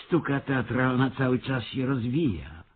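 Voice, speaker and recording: An actor playing a cocksure schemer in a radio comedy who lectures condescendingly as a self-styled theatre expert. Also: male voice, mid-range voice, expressive, a little slow, slightly rough. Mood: lecturing. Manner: condescending, lecturing, mock-erudite. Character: cocksure male schemer posing as avant-garde theatre expert. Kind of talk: Polish radio play comedy acting. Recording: slightly muffled, lo-fi radio recording.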